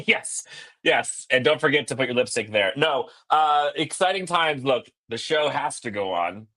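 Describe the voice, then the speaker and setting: A slightly hoarse man in his thirties with a bright, sharp-edged voice talks sports in quick, joking bursts. He speaks into a laptop over a video call from a hotel business center. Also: mid-range voice, animated. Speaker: podcast co-host. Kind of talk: casual sports podcast chat.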